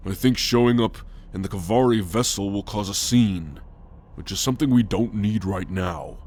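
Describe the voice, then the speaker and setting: A man's voice: fairly low pitch, moderate pace, darkish tone, slight background noise, close to an everyday voice. A man acting a cautious, grumbling alien helmsman in a sci-fi ASMR roleplay, wary and grudgingly obedient.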